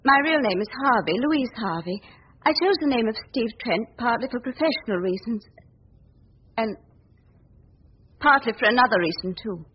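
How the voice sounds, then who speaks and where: High-voiced, earnest young lady reporter. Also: lively intonation. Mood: grave, earnest. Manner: earnest, insistent, deliberate. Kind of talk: 1940s radio drama acting. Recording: husky, dull old radio recording.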